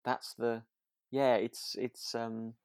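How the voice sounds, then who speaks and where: A man's voice: mid-range voice, flat delivery, slow, a little mellow, clear, resonant tone. A man co-hosting a casual podcast, speaking hesitantly and repeating words as he thinks. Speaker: podcast co-host. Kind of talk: casual chat about a radio drama.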